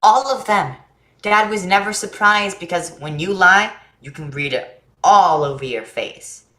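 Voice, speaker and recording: A woman's voice: fairly low pitch, animated, a little slow, fairly bright tone, roomy sound. A woman playing an earnest, eager and frank young boy in a staged play reading. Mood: frank, teasing. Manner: earnest, blunt, childlike.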